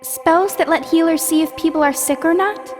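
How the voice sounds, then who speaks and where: A woman's voice: very high voice, normal speed, music in the background. An actress playing a kind, polite young noble girl mage in an audiobook. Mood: worried.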